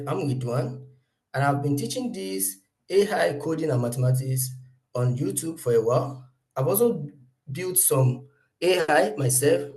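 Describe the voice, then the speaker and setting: A man's voice: mid-range voice, darkish tone, low-quality recording. A man introducing himself as the tutor of an online kids' class, polite and a little hesitant. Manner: polite, slightly hesitant with restarts.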